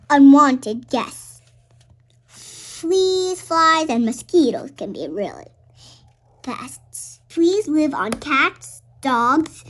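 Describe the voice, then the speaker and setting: Little girl reading a kids book out loud, really high voice, takes it slow, trips on words a bit. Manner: halting reading, stumbles and repeats words. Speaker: read-aloud show host. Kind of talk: children's picture book read-aloud.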